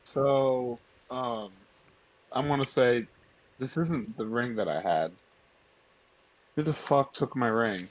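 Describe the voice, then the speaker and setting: Casual mid-pitched man playing tabletop. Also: slow, pauses often, rough recording. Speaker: tabletop game player. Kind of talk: casual in-character game chat.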